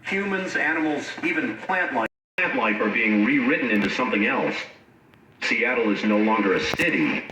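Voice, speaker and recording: A man's voice: mid-range voice, animated, normal speed, lightly acted. A man playing a grave scientific adviser, explaining formally in a horror audio drama. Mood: grave, ominous. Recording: echoey, distant, noisy radio-like sound.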